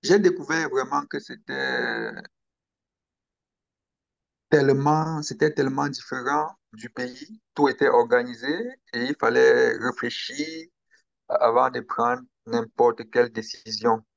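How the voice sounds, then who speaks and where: A young man from Cameroon, speaking slowly and hesitantly as an interview guest about his own story. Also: medium pitch, steady tone, creaky, dry recording, muffled recording. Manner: slow, hesitant, with pauses and restarts. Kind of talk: interview, personal account.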